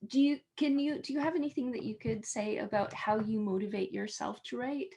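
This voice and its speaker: A woman's voice: a little high, a little fast, warm tone, slightly rough. A young woman interviewer speaks through a headset on a video call from her home office. She asks her questions in a chatty, rambling way, stopping and restarting as she goes. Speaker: interview host. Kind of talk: casual interview chat.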